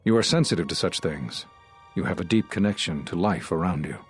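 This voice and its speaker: An actor playing a solemn, regretful Jedi master in a video game, speaking in a measured, sombre and earnest way. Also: male voice, low-pitched, breathy edge, soft background music. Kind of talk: dramatic video game dialogue.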